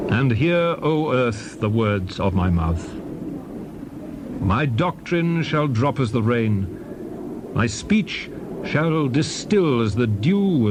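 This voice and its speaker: An actor playing Moses as a stern, solemn old prophet, declaiming scripture in measured phrases. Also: male voice, mid-range voice, background noise. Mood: solemn, exalted. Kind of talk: dramatized scripture recitation.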